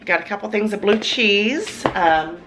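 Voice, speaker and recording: A hoarse woman with a cold, chatting in a rambling, folksy way with lots of ums in her home kitchen. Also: medium pitch, animated, a little slow. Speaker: cooking vlogger. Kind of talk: casual chat to camera. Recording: noisy home recording.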